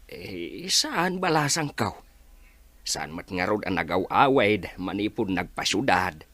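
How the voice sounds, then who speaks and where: A man playing an anxious older village father with a husky voice in a radio drama. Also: lowish voice, big pitch swings, a little slow, sharp tone, dry recording, heavily acted. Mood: puzzled, defensive.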